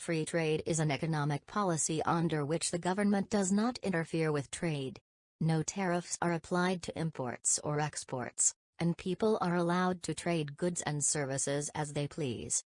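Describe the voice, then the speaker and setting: A woman reading scripted exam practice passages aloud in a measured, formal manner. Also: low-pitched, a little mellow, no echo, studio quality.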